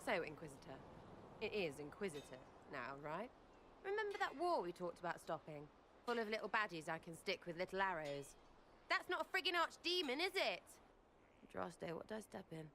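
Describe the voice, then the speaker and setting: Young elf rogue, cheeky, blunt, swooping lively pitch, faint game ambience behind. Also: female voice, normal speed, slight background noise, exaggerated voice. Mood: uneasy, flippant. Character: brash, irreverent young female elf archer, cheeky commoner. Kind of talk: video game character acting.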